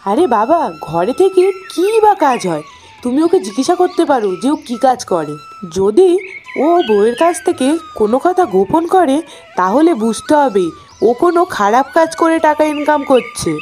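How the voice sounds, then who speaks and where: A jealous village housewife in a cartoon, played by a woman. Her high voice swings widely as she scolds and pries, with light music underneath. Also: big pitch swings, measured pace, a little mellow. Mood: nosy, prodding. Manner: scolding, gossipy. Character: envious, nagging village housewife, nosy neighbour. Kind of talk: cartoon voice acting.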